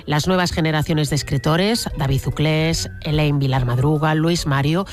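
A radio culture reporter reading a scripted story piece in a measured, storytelling way. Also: mid-range voice, fairly even intonation.

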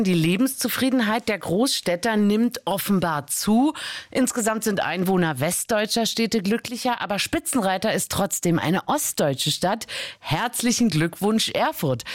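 A woman from Berlin hosting a radio interview with a brisk presenter delivery. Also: lowish voice, no echo, studio quality. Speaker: radio host. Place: radio studio. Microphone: studio mic.

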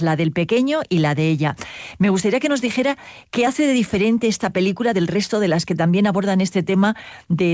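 Interviewer, polite measured questions, dry close sound, mid pitch. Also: a little mellow, no room sound.

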